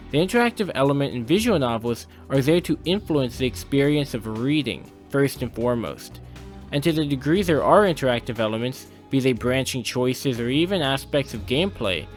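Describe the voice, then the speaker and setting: A man narrating a scripted video essay in an opinionated, literary manner with formal wording. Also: mid-range voice.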